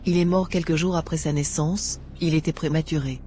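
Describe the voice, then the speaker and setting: A woman playing a meek, wounded young wife who pleads plaintively in an animated moral drama. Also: low-pitched, fairly quick, soft background music, lightly acted. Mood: distressed.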